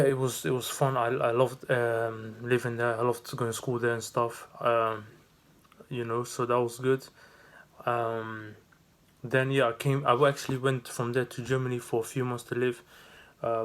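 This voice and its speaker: Young man rambling in a flat voice. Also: mid-range voice. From Netherlands. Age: 20s. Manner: rambling, many fillers and restarts. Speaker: vlogger and new business owner. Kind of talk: unscripted casual vlog.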